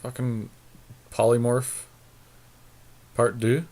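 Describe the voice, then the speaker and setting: A man's voice: medium pitch, little pitch movement, slow, darkish tone. A man co-hosting a podcast, chatting casually and thinking aloud as he plays a card game, with some offhand swearing. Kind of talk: casual chat while drafting a card game.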